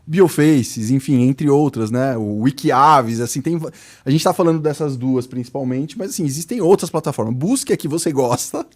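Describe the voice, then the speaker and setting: A man's voice: mid-range voice, moderate pace. A man chatting informally and explaining things as a podcast host. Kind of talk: casual podcast chat.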